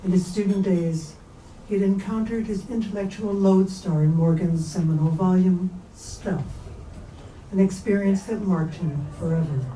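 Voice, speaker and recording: A woman reading literary prose aloud at a staged reading, in a measured, composed storytelling delivery. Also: fairly low pitch, warm tone. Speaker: reader at a staged book reading. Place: bookstore event space. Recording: echoey room, distant mic, faint noise.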